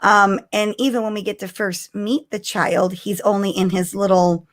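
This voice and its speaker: A middle-aged woman co-hosting a live podcast, chatting casually with an animated, giggly and excitable manner. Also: medium pitch, normal speed. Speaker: podcast co-host. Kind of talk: casual fan chat on a live stream.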